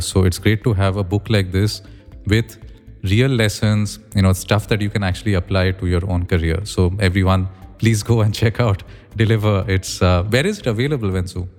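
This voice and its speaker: A man's voice: lowish voice, darkish tone, big-room sound. A man hosting a podcast, asking polite, structured questions. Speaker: podcast host. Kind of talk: scripted podcast intro then interview.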